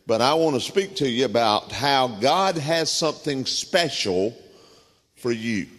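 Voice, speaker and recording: A man with a Southern US accent preaching a sermon, telling folksy stories with frequent pauses and filler words. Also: medium pitch, lively intonation, a bit bright. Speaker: guest preacher. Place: church sanctuary. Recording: reverberant church sanctuary.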